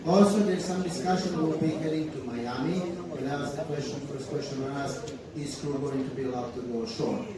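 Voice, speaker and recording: A man's voice: on the high side, fairly quick, a loud crowd. A man, a ship's captain, giving a chatty, joking speech to crew on stage, often asking "right?". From Croatia. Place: large theater hall on a cruise ship. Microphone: handheld, filmed from far in the audience. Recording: echoey hall from far off, noisy.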